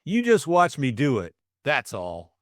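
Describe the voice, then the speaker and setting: A man's voice: medium pitch, huge pitch swings, unhurried, no echo, studio quality, pitched above the performer's own voice. A man voicing a flustered, lovestruck young Englishman, stammering and eager. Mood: playfully firm.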